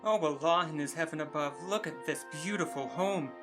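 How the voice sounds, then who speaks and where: A man's voice: on the high side, fairly quick, fairly bright tone, lightly acted. Man playing a weary, lamenting poor porter. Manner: lamenting, declamatory. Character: poor, weary, complaining porter, humble and courteous. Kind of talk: audio drama acting. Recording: prominent guitar music under the voice.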